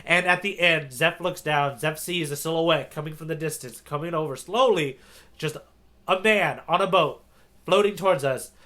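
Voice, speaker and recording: A man hosting a podcast, casually recapping a story in an animated, loosely acted retelling. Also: on the high side, normal speed. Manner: animated retelling, acts out characters' lines loosely. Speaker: podcast host. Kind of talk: casual manga recap chat.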